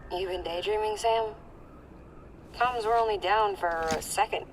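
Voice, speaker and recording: A woman's voice: mid-range voice, unhurried, a little hiss or hum, lightly acted, poor audio. A woman playing a caring, lonely radio contact. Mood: concerned. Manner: concerned, gentle over the radio. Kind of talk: video game cutscene acting.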